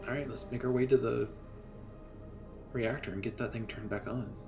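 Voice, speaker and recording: A man's voice: mid-range voice, fairly even intonation, normal speed, vocal fry, over music. A man playing a tabletop game, earnestly explaining his reasoning. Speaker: player. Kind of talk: casual tabletop chat.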